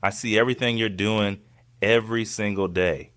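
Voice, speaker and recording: Guy playing flirty waiter in audio drama, lowish voice, soft, playful but sincere, no room echo. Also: steady tone, normal speed, clear, resonant tone, studio quality, close to an everyday voice. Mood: earnest, tender. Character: flirty, devoted male restaurant server.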